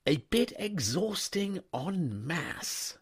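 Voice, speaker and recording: A man voicing a bright, excitable upper-class young English gentleman who stammers and chatters in breezy slang. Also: high-pitched, extremely slow, husky, voice pushed up, full character voice. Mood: flustered.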